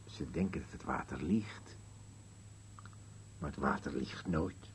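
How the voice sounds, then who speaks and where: An actor playing a gloomy, contrary elderly husband in a radio play, speaking in terse, musing contradictions. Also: male voice, extremely low pitch, takes it slowly, slightly rough, full character voice. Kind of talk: Dutch-language radio play acting.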